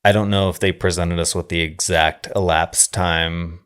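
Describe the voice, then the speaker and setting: A man co-hosting a casual film discussion, speaking in a halting, measured way with some swearing. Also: sits low, fairly even intonation, fairly bright tone, smooth. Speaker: podcast co-host reviewing films.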